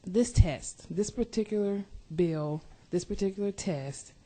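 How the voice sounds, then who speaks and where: A woman's voice: medium pitch, unhurried, mellow. A woman committee member asking a question, restating it over and over to get at her point. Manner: probing, rephrases her question repeatedly.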